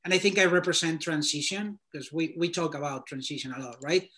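Man in his thirties, Spanish accent, slightly high voice, rambling and filler-heavy, laptop mic in a small home room. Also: a little fast, bright, forceful tone. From Spain. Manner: loose, filler-heavy, thinking aloud. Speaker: guest portfolio reviewer. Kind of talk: casual video call talk. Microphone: laptop webcam video call.

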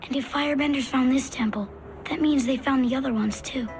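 A woman as high-voiced, sorrowful cartoon boy. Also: fairly quick, soft background music, lightly acted. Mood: sad, forlorn. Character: young boy, sad lone airbender monk of a cartoon. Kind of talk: animated series drama acting.

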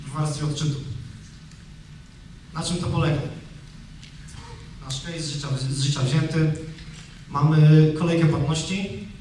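A man's voice: fairly high pitch, a little mellow, a little hiss or hum. A man in his thirties giving a technical talk on a conference stage into a handheld mic, in a loose conversational way full of fillers and restarts. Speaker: conference speaker. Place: large conference hall, on stage. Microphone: handheld microphone. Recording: echoey hall, distant from the mic.

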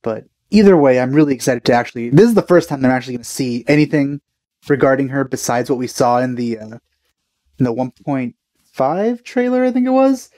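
A man's voice: mid-range voice, animated, a little fast, warm tone, no room sound. A man in his thirties giving casual, enthusiastic reaction commentary as a video host, close on the mic. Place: small indoor room.

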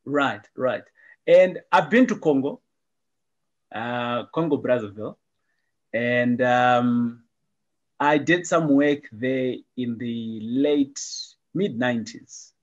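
A man's voice: mid-range voice, slow pace, lo-fi sound. A middle-aged man hosting a casual interview over a video call, upbeat and repeating friendly phrases. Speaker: host.

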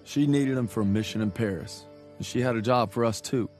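An actor playing a plainspoken young American infantry private, with an American accent, in a war video game. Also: male voice, medium pitch, fairly quick, a little mellow, faint music behind, lightly acted. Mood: wry, reflective. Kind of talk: video game cutscene and journal narration.

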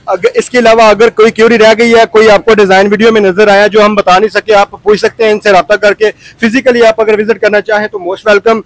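A man's voice: high voice, flat delivery, a little fast, hardly any pauses, rough recording. A man, hosting a casual shop-tour interview in an appreciative manner. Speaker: video host, interviewer. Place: small crowded shop indoors. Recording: faint noise, shop ambience.

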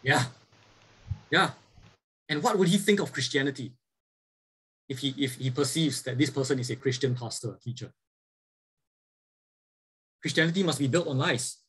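A man's voice: medium pitch, normal speed, long pauses, a bit bright, slightly rough, low-quality recording. A man giving a church lecture as a Bible teacher, earnest, asking his listeners rhetorical questions and often restarting his sentences. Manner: frequent fillers and repeated restarts. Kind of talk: church lecture over slides.

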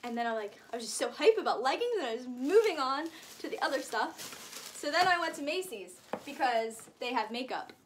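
High-voiced, gushing teenage woman vlogger. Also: expressive, fairly quick, slight background noise. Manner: rambling, gushing, uses frequent "like" and hyperbole. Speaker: vlogger showing shopping haul. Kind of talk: casual chatty haul vlog. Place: indoors, small room with curtain backdrop.

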